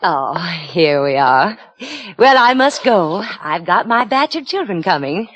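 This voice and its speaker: A woman playing a brisk, callous, matter-of-fact hospital nurse in a 1950s radio drama. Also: lowish voice, sing-song, a bit bright, highs cut off. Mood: briskly cheerful. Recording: noisy vintage 1950s radio recording.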